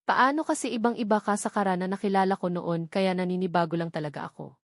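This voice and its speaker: A woman's voice: medium pitch, fast talker, no room sound, clean studio sound, lightly acted. Earnest, devoted young husband voiced by a woman. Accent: Filipino. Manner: earnest, sentimental.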